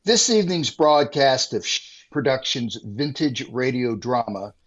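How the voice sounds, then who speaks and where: A man's voice: mid-range voice, expressive, unhurried. Man announcing a radio show, dull sound.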